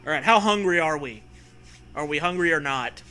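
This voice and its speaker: A man's voice: a little high, animated, moderate pace, bright, forceful tone. A man co-hosting a comic story reading, narrating in a crude, mock-serious way with chatty commentary. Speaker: co-host, reader.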